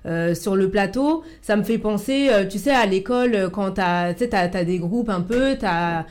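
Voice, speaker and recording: A woman's voice: medium pitch, moderate pace, darkish tone. Hesitant woman searching for words. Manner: hesitant, many restarts. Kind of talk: casual debate.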